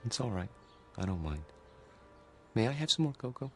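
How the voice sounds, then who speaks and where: Gentle vulnerable mental patient, radio play, low hesitant voice with lots of swing. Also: male voice, very animated, moderate pace, music in the background. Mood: subdued, polite. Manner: hesitant, mild. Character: earnest, vulnerable, soft-hearted male psychiatric patient. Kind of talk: radio drama acting.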